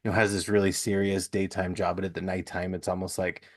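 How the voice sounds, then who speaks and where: Low-pitched man hosting a casual chat. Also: flat delivery, fast. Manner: easygoing, informal, agreeable. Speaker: podcast host.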